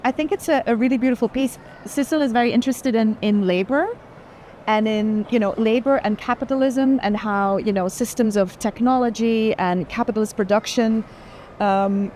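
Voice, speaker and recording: A woman's voice: a little high, darkish tone, a little hiss or hum. A woman curator explaining artworks on a walking tour of an art fair, enthusiastic and full of restarts. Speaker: chief curator. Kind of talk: walking gallery conversation.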